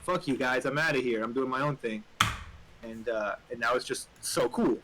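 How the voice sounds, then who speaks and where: A man's voice: mid-range voice, moderate pace. Man chatting casually over noisy keyboard clatter. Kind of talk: casual chat, opinionated film talk.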